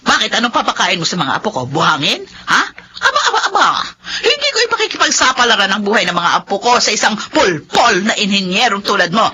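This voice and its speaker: A woman's voice: medium pitch, big pitch swings, fast, sharp tone, husky, heavily acted. An actress playing a bitter, domineering older grandmother, scornful and accusatory in a melodramatic radio drama style. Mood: scornful, furious. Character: vengeful older grandmother, bitter and domineering toward her son-in-law. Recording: muffled radio broadcast with background noise.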